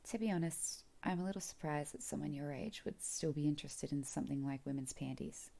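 A low, husky voice with a gravelly edge, a woman acting as a teasing, commanding mother in an audio roleplay. She coaxes softly and slips into whispers, heard up close on the mic. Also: brisk. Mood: soft, coaxing. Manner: soft, coaxing, often whispered.

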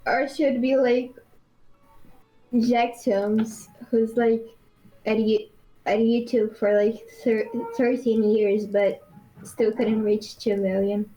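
A woman from Brazil chatting as a guest on a stream, unhurried and a bit hesitant, poking fun at herself. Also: fairly high pitch, level tone, warm tone, faint music behind, low-quality recording. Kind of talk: casual chat.

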